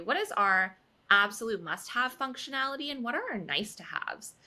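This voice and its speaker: Lively, bright-voiced woman guest. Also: mid-range voice. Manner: articulate, upbeat, laughs lightly. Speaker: guest, reliability advocate at a software firm. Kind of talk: conversational interview answers.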